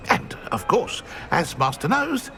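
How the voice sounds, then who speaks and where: An actor playing an anxious, servile male house elf in a video game, gravelly and hesitant. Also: on the high side, sing-song, unhurried, pushed and bright, faint music behind, exaggerated voice. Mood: nervous, deferential. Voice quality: creaky, gravelly. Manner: hesitant, speaks of himself in third person. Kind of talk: video game character acting.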